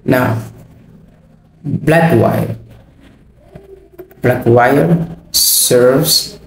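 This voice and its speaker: Male tutorial host, very slow and hesitant, creaky voice, unscripted delivery, roomy sound. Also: mid-range voice. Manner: halting, hesitant, thinking aloud.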